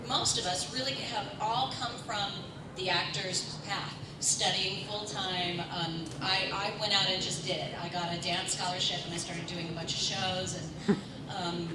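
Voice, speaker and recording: A woman voice actor and casting director on a convention panel, giving frank, measured career advice. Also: medium pitch. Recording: echoey hall audio from far off, loud noise.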